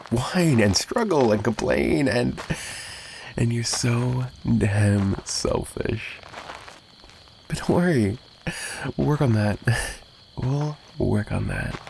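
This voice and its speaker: A man playing a possessive, menacing and flirtatious mafia boss in an ASMR roleplay monologue, taunting and sarcastic with drawling pauses. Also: lowish voice, gravelly, background noise. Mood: irritated.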